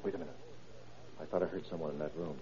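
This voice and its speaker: An actor playing a blunt, skeptical police detective sidekick in an old-time radio drama, brisk and wry. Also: male voice, a bass voice, steady tone, mellow. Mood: hushed, wary. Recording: muffled, narrow-band vintage radio recording.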